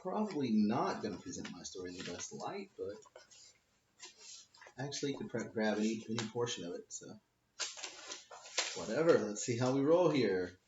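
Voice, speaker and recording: A man's voice: lowish voice, very animated, moderate pace, long pauses, noisy background. A man, a lawyer, reading his own book aloud in a wry, offhand way, stressing the punchlines. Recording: roomy, dull lo-fi home recording.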